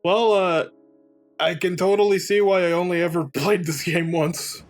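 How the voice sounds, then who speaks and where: A man's voice: sits high, steady tone, normal speed. A man giving casual, jokey commentary as a let's play co-host. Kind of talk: casual joking game commentary. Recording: faint game music behind.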